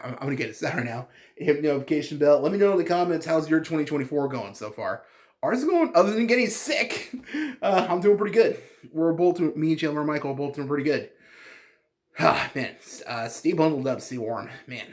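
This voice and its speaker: A congested man with a slightly high voice hosts his card game channel. He rambles in a loose, chatty way, full of fillers and false starts, and his stuffiness stays audible throughout. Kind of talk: casual deck profile vlog.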